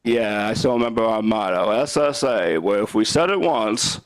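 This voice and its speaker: A young man doing live stand-up with a stutter, talking slowly and haltingly with deadpan one-liners. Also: lowish voice, dry recording. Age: young adult. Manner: slow, halting delivery with restarts. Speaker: stand-up comedian. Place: comedy club stage.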